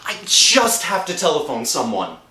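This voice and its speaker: Roomy echo, male actor as guilt-stricken working-class husband, halting, very animated pitch, radio thriller. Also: heavily acted. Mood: panicked. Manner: halting, dramatic. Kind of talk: old-time radio thriller acting, narration and dialogue. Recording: roomy echo, faint music behind.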